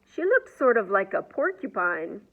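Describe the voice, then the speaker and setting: A woman reading a children's picture book aloud in an expressive storyteller's manner. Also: medium pitch, normal speed, highs cut off. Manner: expressive, asks the young listeners questions.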